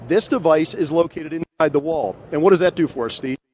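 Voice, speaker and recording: A man's voice: a little high, fairly quick, a little mellow. A middle-aged man presenting products conversationally into a handheld microphone in a trade show hall. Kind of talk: promotional product demonstration, conversational. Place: large busy trade show hall, indoors. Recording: clear background noise of exhibition hall.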